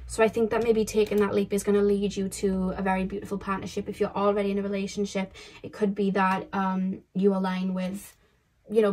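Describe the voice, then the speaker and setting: A woman's voice: mid-range voice, darkish tone. Chatty woman reading tarot. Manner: chatty and rambling, repeats phrases, many fillers. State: slightly croaky voice. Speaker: tarot card reader. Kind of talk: casual pick-a-card tarot reading. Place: indoors at a table, camera overhead.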